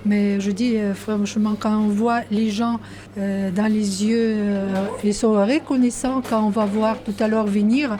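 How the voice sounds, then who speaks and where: A woman from Ukraine, a volunteer, speaking heartfelt French with many pauses and fillers. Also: medium pitch, flat delivery, mellow. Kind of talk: interview answers. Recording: busy room with crowd chatter.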